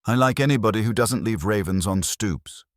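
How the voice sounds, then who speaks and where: A man's voice: on the low side, normal speed, no room sound, studio quality, pitched above the performer's own voice, close to an everyday voice. A man voicing a tough, wry detective's assistant with a clipped, wisecracking manner in an audiobook mystery. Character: wry, tough male private investigator's assistant.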